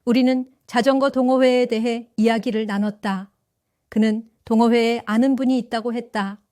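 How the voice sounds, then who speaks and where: Gentle older woman telling her story. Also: a little high, fairly even intonation, normal speed, no echo, studio quality. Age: 70s. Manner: gentle, reflective, wistful. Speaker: first-person narrator. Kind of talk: storytelling narration for an audio drama.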